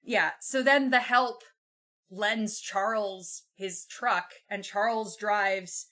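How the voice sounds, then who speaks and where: A young woman co-hosting a podcast, retelling a book casually in an animated, informal, sweary way. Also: mid-range voice, slow. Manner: animated, informal and sweary, acts out quotes in passing. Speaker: podcast co-host.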